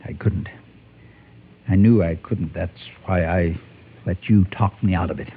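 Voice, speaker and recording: An actor playing a guilt-ridden former gunfighter turned preacher in a Western radio drama, speaking in a grave, halting, confessional way with a husky voice. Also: male voice, sits low, steady tone, a little hiss or hum. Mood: resigned. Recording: muffled, tinny old-time radio recording.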